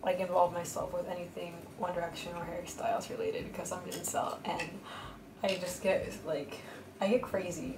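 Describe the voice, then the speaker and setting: A woman chatting in a casual voice-over while drawing, with a flat, monotonous, blunt way of talking full of fillers. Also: lowish voice, brisk, noisy background. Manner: flat, monotonous speech pattern; blunt and rambling, many fillers. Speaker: art vlogger. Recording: roomy voice over background music.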